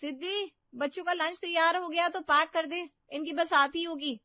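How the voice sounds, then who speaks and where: A woman voicing a hurried young mother in a cartoon, urgent and pleading. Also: very high voice, fairly even intonation, no room sound, voice pushed up. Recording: dull, muffled audio.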